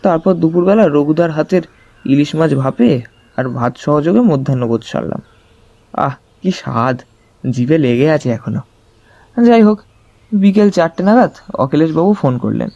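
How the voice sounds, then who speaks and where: A man telling a detective thriller in the first person, in an expressive, conversational storytelling style. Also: a little high, moderate pace, creaky, long pauses, no echo, muffled recording. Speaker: narrator of an audio story.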